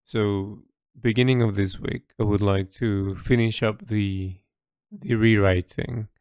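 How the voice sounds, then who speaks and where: A man speaks very slowly in a smooth, fairly low voice, recorded close and dry. He sounds like an artist keeping a personal audio log, reflective and unscripted. His phrases come haltingly, with thoughtful pauses as he works out what he means. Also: steady tone, darkish tone, no room sound.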